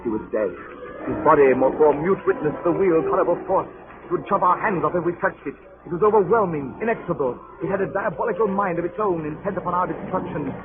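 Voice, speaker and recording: An actor playing a solemn freighter captain, narrating with measured, literary intensity in a 1930s radio drama. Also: male voice, high voice, close to an everyday voice. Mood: urgent, horrified. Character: grave, dramatic male freighter captain recounting disaster. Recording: scratchy, narrow-band 1930s radio broadcast.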